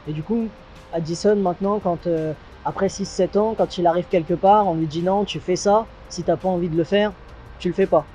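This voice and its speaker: A man's voice: sits high, fairly even intonation, a little fast, dark tone, soft background music. A man working as an interviewer, putting questions to his guest in a conversational style.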